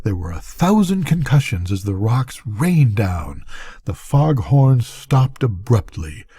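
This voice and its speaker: An older man reading a bedtime story aloud as a storyteller, with deliberate, dramatic phrasing. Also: mid-range voice, very animated, dark tone, slightly rough.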